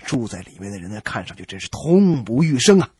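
A man hosting a radio comedy show, telling jokes in a conversational, theatrical storyteller's manner with a rough voice. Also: mid-range voice, big pitch swings, fast, darkish tone, no echo. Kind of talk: animated joke telling. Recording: dry, faint music behind.

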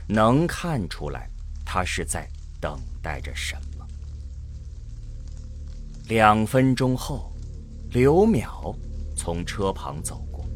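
A man giving dramatic scripted narration for a science-fiction audio drama. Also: on the low side.

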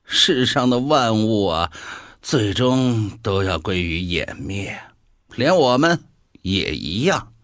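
A man playing a bored, mocking devil in an audio drama, with a theatrical, drawn-out delivery. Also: fairly low pitch, big pitch swings, a bit bright, dry recording, studio quality, heavily acted. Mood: jaded, gloomy. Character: mocking, bored male devil who favours death.

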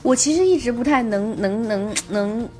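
A woman's voice: fairly high pitch, normal speed, darkish tone, faint music behind. A woman co-hosting a podcast in casual discussion, repeating words as she works out her thoughts. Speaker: podcast co-host.

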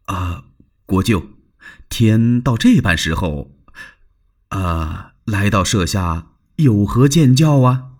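A man voicing a cautious, courteous lord in a traditional told story, guarded and evasive, with a husky voice. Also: lowish voice, lively intonation, slow. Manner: polite, guarded, placating.

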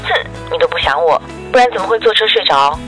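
A woman's voice: on the low side, expressive, fast talker, close to an everyday voice, low-quality recording. An actress in a radio drama playing a doting, clingy young girlfriend who is coy, tender and pouty. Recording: music behind the voice. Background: background music.

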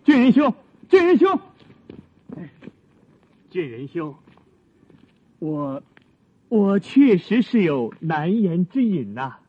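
Earnest, slow young scholar. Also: male voice, sits high, huge pitch swings, husky, full character voice. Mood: pleading. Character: earnest, modest young male scholar, troubled and polite. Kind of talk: Chinese period radio drama acting. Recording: phone-like lo-fi radio recording.

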